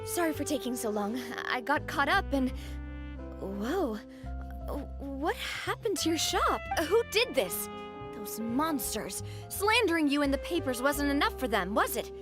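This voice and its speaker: Hot-tempered young leader, high lively voice, fierce and protective, game music behind. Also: female voice. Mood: outraged. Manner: fiery, quick to anger on behalf of friends. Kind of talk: video game dialogue.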